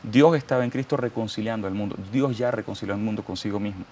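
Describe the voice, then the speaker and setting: A man's voice: lowish voice, quick pace, darkish tone, slight background noise. A man with a Venezuelan accent, a guest giving a fervent, preacherly testimony and quoting scripture. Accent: Venezuelan Spanish. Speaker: interview guest. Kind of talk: testimony interview.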